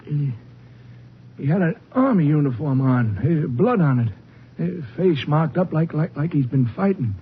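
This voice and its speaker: An elderly, beaten rancher in an old radio play. His husky voice sits fairly high and halts and stammers. The sound is thin and boxy, like a telephone. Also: lively intonation, heavily acted. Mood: shaken, halting. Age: older. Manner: halting, stammering. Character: elderly Texas rancher, beaten, shaken crime victim. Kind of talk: old-time radio drama acting. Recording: muffled, phone-like old radio recording.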